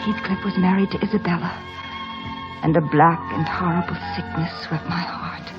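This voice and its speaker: A woman's voice: on the low side, fairly even intonation, moderate pace, lightly acted. An actress playing a passionate, desperate young married woman in torment, in an impassioned, melodramatic old radio drama style. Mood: haunted, grieving. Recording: muffled old radio broadcast, music underneath.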